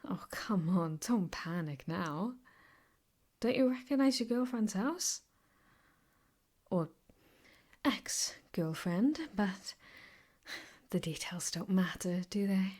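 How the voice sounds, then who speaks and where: A woman playing an obsessive, possessive, sweetly menacing ex-girlfriend in an improvised ASMR roleplay, teasing and often slipping into breathy whispers. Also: mid-range voice, expressive, takes it slowly, pauses often, a little mellow. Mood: mock soothing.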